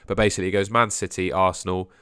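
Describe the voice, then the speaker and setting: Young male streamer, a bit hoarse from a sore throat, low voice, hedges a lot and drops off quietly at line ends. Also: flat delivery, very fast, dry recording, clean studio sound. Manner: hedging, trails off quietly at phrase ends. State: sore throat, losing his voice. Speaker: fantasy football livestream host. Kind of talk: casual live stream chat answering viewers.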